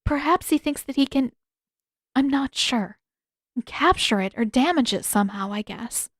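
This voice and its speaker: A courteous teenage princess acted by a woman reader, pitched a little high and careful with her words. She is gentle, thoughtful and hesitant, as in an audiobook. The recording is very dry. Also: lively intonation, normal speed, no echo. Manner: careful, hesitant, polite phrasing.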